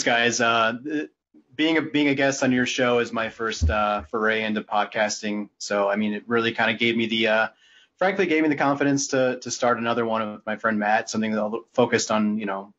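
Halting man in dull lo-fi sound. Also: medium pitch, steady tone, a bit bright. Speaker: podcast co-host. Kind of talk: casual chat.

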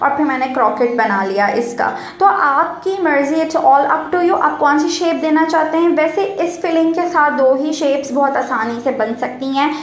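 A woman's voice: sits high, moderate pace, few pauses, echoey room, slight background noise. A woman, chatting casually as she explains cooking steps to viewers in a home kitchen vlog.

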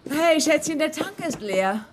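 An actress playing a proud, prickly, frugal wife in a dubbed television sitcom. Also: female voice, high voice, animated, unhurried. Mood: needling. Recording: sitcom audio with audience and noise.

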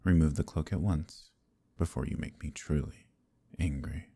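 A man playing a proud, possessive fae prince in an intimate ASMR roleplay, husky and breathy, with measured, courtly speech that dips into whispers. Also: rumbling low, warm tone, heavily acted. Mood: vexed, menacing. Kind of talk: ASMR roleplay, intimate archaic theatrical acting.

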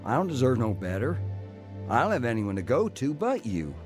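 Man acting a hardened male crook, slangy street talk, lively intonation, radio drama, music behind. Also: mid-range voice, normal speed, lightly acted. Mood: humbled, earnest. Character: tough, broke career criminal, jailed, turning repentant.

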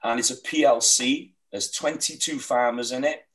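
A man's voice: mid-range voice, steady tone, normal speed, fairly bright tone, distant mic, low-quality recording. A middle-aged Welsh man whose first language is Welsh, describing his hill farm with earnest, heartfelt passion on a video call. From Wales. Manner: earnest, heartfelt, rambling with restarts. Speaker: sheep farmer guest. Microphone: webcam on a video call.

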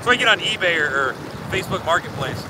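A middle-aged man talks quickly with a fairly high voice, rambling and joking through one story after another. He sits a few feet from a phone camera in an open boat on a lake. Loud wind and water noise fill the gaps. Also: animated. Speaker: guest. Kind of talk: casual chat. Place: small boat on a lake, outdoors. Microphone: phone camera a few feet away.

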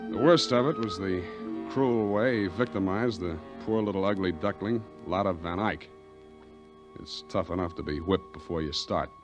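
An actor playing a wisecracking, cynical private detective, terse and sardonic, in 1940s radio drama style. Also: male voice, low voice, normal speed, over music, close to an everyday voice. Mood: wry narration.

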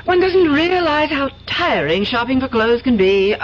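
An actress in a 1970s radio drama playing a scatterbrained, extravagant older aunt with a gushing, theatrical manner. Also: female voice, high voice, sing-song, measured pace, exaggerated voice. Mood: exhausted, vague. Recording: dull, noisy 1970s radio recording.

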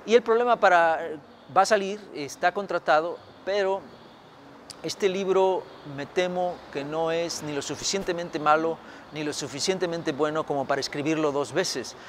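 Middle-aged man from Mexico, slightly high voice, reflective interview answers with hesitations, faint traffic outdoors. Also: normal speed. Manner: thoughtful, many fillers and restarts. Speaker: historian author. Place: outdoors by a building.

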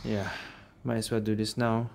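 A man's voice: fairly low pitch, little pitch movement, normal speed, vocal fry, very noisy. A man giving casual gameplay commentary, thinking aloud. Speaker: gamer, streamer. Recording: game music behind the voice.

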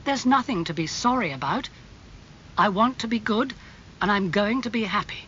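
A man voicing an anguished, impulsive young gentleman in an audiobook, earnest and pleading in manner. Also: sits high, very animated, moderate pace, highs cut off, a little hiss or hum, exaggerated voice. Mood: earnest, hopeful. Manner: emotional, pleading.

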